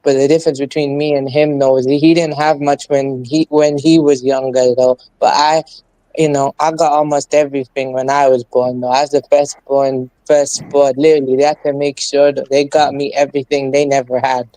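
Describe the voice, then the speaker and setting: A young man with an East London accent and Urdu as his first language, chatting quickly and casually as a guest on a call-in stream. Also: medium pitch, flat delivery, dark tone, dry recording, rough recording. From England. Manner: fast-talking, tags sentences with "though". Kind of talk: casual chat.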